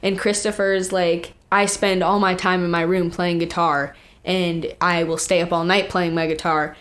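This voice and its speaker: A teenage young woman chatting casually, telling stories in an animated way with acted-out quotes and plenty of "like". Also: fairly low pitch, moderate pace. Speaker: young singer and songwriter, interview guest. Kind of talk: casual chat, storytelling.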